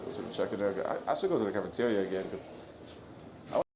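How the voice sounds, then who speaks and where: Low-voiced man livestreaming while walking. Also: animated, rapid-fire, gravelly. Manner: rambling, joking, talks quietly. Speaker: IRL livestreamer. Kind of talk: casual livestream chat to viewers. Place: airport terminal, indoors. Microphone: phone or camera carried while walking. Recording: noisy phone livestream audio.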